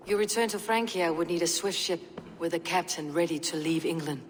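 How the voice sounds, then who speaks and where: An actress playing a steely young Viking warrior woman in a video game, speaking in measured, formal, old-fashioned phrases. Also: lowish voice, a little hiss or hum, natural voice. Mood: measured, curious. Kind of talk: video game cutscene acting.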